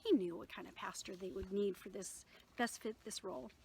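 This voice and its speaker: A woman reading her own novel aloud in an expressive storytelling manner, with occasional small stumbles. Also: medium pitch, fairly quick, vocal fry, slight background noise.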